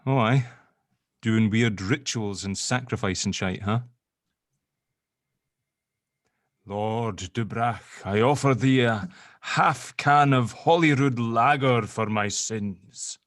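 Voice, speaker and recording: A man's voice: mid-range voice, takes it slowly, dry recording, studio quality, lightly acted. A man playing a sardonic, joking sceptic in an audio drama table read, bantering informally. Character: sardonic, sceptical spirit-dealing grave opener, gently joking.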